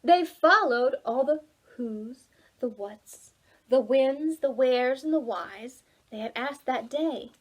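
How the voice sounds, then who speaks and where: A woman reading a children's storybook aloud for preschoolers, dramatic and expressive, involving the young listeners. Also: high-pitched, slow pace, low-quality recording. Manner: animated, dramatic, asks listeners questions. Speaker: children's library storytime reader.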